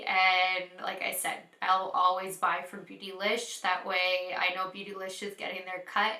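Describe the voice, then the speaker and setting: A woman's voice: medium pitch, sharp tone. A woman beauty vlogger with a congested, nasal head-cold voice, chatting casually to her viewers in an apologetic, explanatory way. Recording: roomy, echoey sound.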